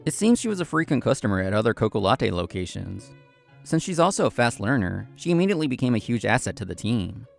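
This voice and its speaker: A man's voice: medium pitch, sing-song, quick pace, creaky, soft background music. A man playing an earnest, polite young café assistant manager, narrating his own story in scripted first person. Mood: earnest, reflective. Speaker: narrator and lead actor of a manga story video.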